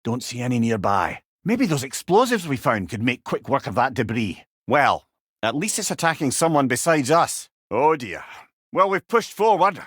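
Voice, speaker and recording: Talking severed head, older Scottish man, witty game companion, wry, eloquent, highly varied intonation, dry studio sound. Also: big pitch swings, normal speed, a bit bright, husky, dry recording. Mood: dryly amused. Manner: wry, eloquent, old-fashioned wording. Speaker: companion character in a video game. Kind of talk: video game character dialogue.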